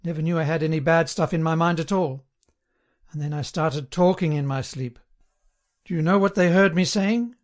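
A man's voice: fairly high pitch, normal speed, natural voice. A man voicing a servile, sanctimonious and chummy party loyalist in an audiobook, talking in an earnest, sententious way. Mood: sanctimonious, confiding. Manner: earnest, eager, sententious, chatty. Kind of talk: audiobook character dialogue.

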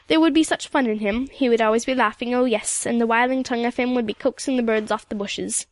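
Woman voicing a proud old storytelling woman, lightly high. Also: dry recording, close to an everyday voice. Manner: lilting old-country phrasing, repeated "oh yes". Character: elderly woman, composed, proud, sorrowful storyteller. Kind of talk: audiobook character dialogue.

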